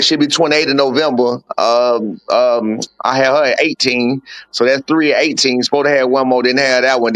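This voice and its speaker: Casting note: forties-age man, coach type, candid and blunt, mid-pitched, dry close sound. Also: fairly bright tone, smooth, no echo. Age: 40s. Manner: candid, blunt storytelling with slang and swearing. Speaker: boxing coach and father of a fighter. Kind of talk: casual podcast interview.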